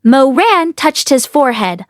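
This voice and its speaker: A woman with a fairly high voice narrates fiction from a script. Her reading is expressive storytelling, recorded very dry and close. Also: measured pace, no echo. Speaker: audiobook reader.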